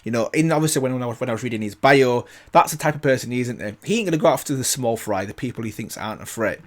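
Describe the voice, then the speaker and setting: A man's voice: medium pitch, fast talker. A man hosting a reaction video, talking enthusiastically and rambling, often restarting his sentences. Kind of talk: casual reaction commentary.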